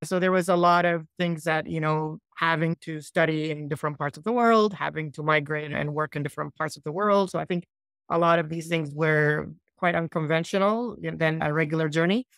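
A man in his forties from Iraq, a software founder, answering interview questions on a laptop video call in a small room, thoughtful and loose with restarts. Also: a little high, brisk, gravelly, clean tone, no room sound, clean studio sound. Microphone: laptop mic on a video call.

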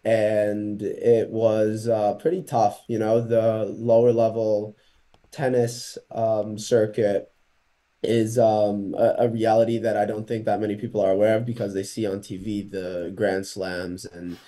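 A young man from Portugal, a college tennis player, answers interview questions over a video call in a relaxed, hesitant way with many pauses, speaking through a laptop mic. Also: fairly low pitch. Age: young adult.

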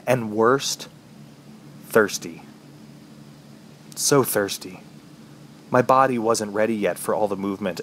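Brooding trapped man played by an actor, noisy ambience. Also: lowish voice. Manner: brooding, halting, dramatic. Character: injured, thirsty trapped man recounting his ordeal. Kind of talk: first-person horror radio drama narration.

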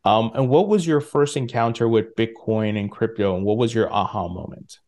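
A man's voice: fairly low pitch, moderate pace, darkish tone. A man hosting a podcast interview, enthusiastic and friendly, speaking over a video call from a home studio. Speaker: podcast host.

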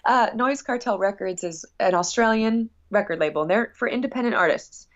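A woman's voice: mid-range voice, a little fast, muffled recording. A woman musician being interviewed casually, talking in a long-winded, rambling, earnest way. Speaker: guest musician.

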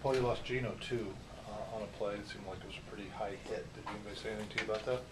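A man, a reporter, putting quick questions to a coach at a press conference. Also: lowish voice, steady tone. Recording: distant, noisy off-mic room pickup.

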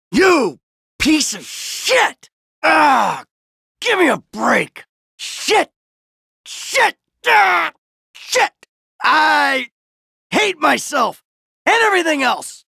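An actor playing a weary, sardonic, hot-tempered middle-aged American criminal in a video game, grumbling and foul-mouthed. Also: male voice, very high-pitched, gravelly, sharp tone, dry recording, studio quality, heavily acted. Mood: furious. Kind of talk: acted video game voice lines.

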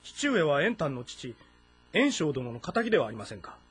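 Husky, suspicious young prince. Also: male voice, fairly high pitch, sing-song, moderate pace, fairly bright tone, heavily acted. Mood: distrustful. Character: suspicious, insistent young son of a warlord. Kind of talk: dramatic audio-novel game acting.

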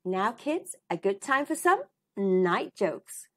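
A woman reading a children's storybook aloud in an expressive, deliberate way, addressing young listeners. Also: mid-range voice.

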